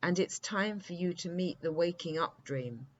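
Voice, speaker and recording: Woman voicing a brisk, chuckling daddy long legs. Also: lowish voice, lively intonation, dull audio. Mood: teasing, knowing. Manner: brisk, matter-of-fact, instructing.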